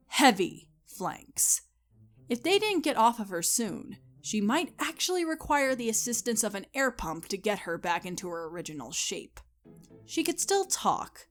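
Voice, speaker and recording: A woman giving a scripted, expressive and deliberate dramatic narration of a story. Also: mid-range voice.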